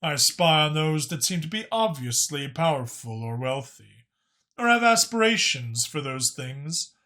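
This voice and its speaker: Man voicing a higher-pitched, bright, knowing alien dragon. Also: big pitch swings, unhurried, exaggerated voice. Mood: explanatory. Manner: explanatory, emphatic. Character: Mach, knowing, talkative male alien dragon informant.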